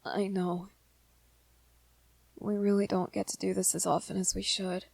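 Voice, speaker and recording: A woman voicing a gentle, lovestruck young vampire man in a romantic ASMR roleplay, earnest and hesitant, with small stammers. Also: mid-range voice, level tone, unhurried, creaky, dry recording. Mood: earnest, reassuring.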